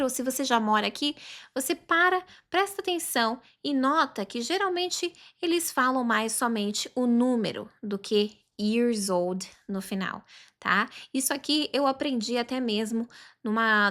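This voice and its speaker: A woman in her thirties teaching a chatty online English lesson, often checking in with the learner and slowly repeating phrases for practice. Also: on the high side, fairly bright tone.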